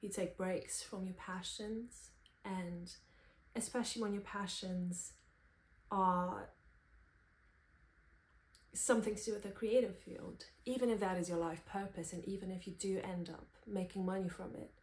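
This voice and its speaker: A woman in her twenties from Romania, reflecting candidly and thoughtfully without a script, in halting phrases. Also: mid-range voice, steady tone, darkish tone. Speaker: vlogger, singer-songwriter. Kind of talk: unscripted personal reflection. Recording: roomy, echoey sound.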